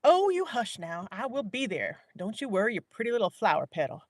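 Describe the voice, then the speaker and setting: A woman voicing a lively, stern, sarcastic woman talking on the phone, loud and scolding. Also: mid-range voice, brisk, no room sound, clean studio sound, pitched above the performer's own voice. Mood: bossy.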